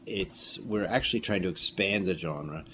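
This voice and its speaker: A man with a fairly low voice explains his ideas in reflective conversation. He is deliberate and articulate, setting out each point in turn. Faint room noise sits behind him. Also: sharp tone. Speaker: interview guest, filmmaker and producer.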